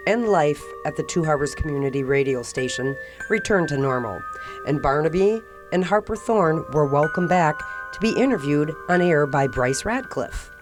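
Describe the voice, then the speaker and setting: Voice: mid-range voice, lively intonation, moderate pace. A narrator delivering scripted storytelling narration for an audio drama. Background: music.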